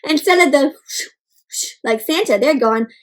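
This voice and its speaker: Animated high-pitched woman reading to kids. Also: a little slow. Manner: animated, exclaiming, questioning listeners, playful sound effects. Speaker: read-aloud host for children. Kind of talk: interactive children's storybook read-aloud.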